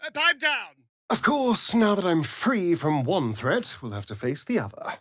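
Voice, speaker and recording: An actor playing an arch, flirtatious, sardonic vampire rogue in a video game, with a theatrical drawl. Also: male voice, sits high, sing-song, a little slow, a bit bright, dry recording, studio quality, heavily acted. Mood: indignant. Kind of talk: video game character dialogue.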